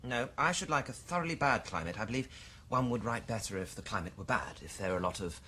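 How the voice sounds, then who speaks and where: An earnest young British writer. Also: male voice, fairly low pitch, lively intonation, fast, bright, forceful tone, slight background noise. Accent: British English. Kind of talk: 1990s radio drama.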